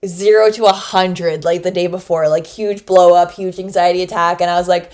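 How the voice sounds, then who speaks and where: A woman's voice: fairly low pitch, fairly even intonation, moderate pace, darkish tone. A woman in her late twenties, a teacher, chatting casually, laughing often and swearing freely. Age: 20s. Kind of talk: casual chat.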